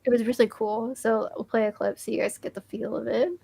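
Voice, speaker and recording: Gushing young woman, slightly high voice. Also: level tone, brisk, creaky, over a noisy crowd. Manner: enthusiastic, gushing, many likes and restarts. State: losing her voice. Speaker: co-host reviewing music. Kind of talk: casual chat.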